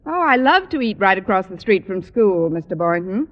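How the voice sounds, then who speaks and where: An actress playing a wry, wisecracking schoolteacher in an old radio sitcom, with dry, sardonic quips. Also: female voice, medium pitch, sing-song, normal speed, lightly acted. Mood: playful, dry. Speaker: lead actress in a radio comedy. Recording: muffled, noisy vintage radio with long reverb.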